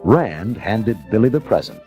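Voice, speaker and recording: A man narrating a scripted storybook as a dramatic, measured storyteller. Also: fairly low pitch, sing-song, lo-fi sound. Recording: music under the narration. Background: music.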